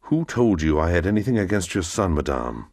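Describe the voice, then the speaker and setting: A man's voice: deep voice, very animated, brisk, darkish tone, no room sound, studio quality, pitched above the performer's own voice, heavily acted. A man voicing a cold, vengeful middle-aged nobleman who speaks in a stern, bitter, accusing way.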